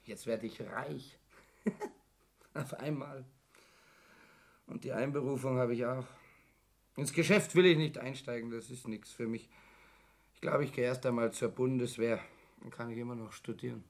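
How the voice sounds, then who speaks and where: An actor playing a hesitant young man in a radio play, appeasing but quietly stubborn. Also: medium pitch, measured pace, vocal fry, long pauses. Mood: overwhelmed. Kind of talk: 1960s German radio play acting.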